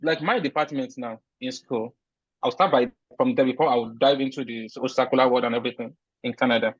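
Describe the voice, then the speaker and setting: A man's voice: mid-range voice, steady tone, a little fast, breathy edge, dry recording. A man from Nigeria with a Nigerian accent, answering interview questions in an earnest, emphatic way with frequent restarts. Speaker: interview guest, recent immigrant. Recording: dull, lo-fi sound.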